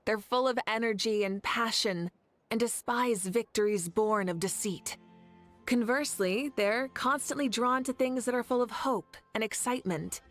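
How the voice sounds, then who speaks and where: A woman voicing a confident, carefree, teasing warrior leader in a video game, self-assured and measured. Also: a little high, a bit bright, faint music behind, natural voice. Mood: assured, explanatory.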